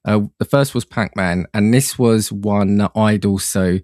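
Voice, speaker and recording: A man's voice: fairly low pitch, measured pace, darkish tone, no room sound, studio quality. A man guesting on a podcast, chatting in an easygoing, appreciative way. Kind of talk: casual chat.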